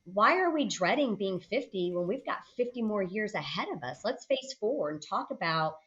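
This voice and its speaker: A woman's voice: mid-range voice, animated, normal speed, a bit bright, muffled recording. A woman in her late forties speaking conversationally as a podcast guest, reflective and often adding short check-in questions. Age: late 40s. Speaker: podcast guest, senior healthcare leader. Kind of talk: conversational interview, personal storytelling.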